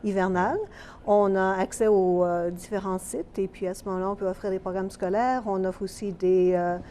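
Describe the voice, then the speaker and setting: A woman with a Quebec French accent in a casual TV interview, explaining in a measured way with fillers. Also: medium pitch, fairly even intonation, warm tone, a little hiss or hum. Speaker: school programs coordinator.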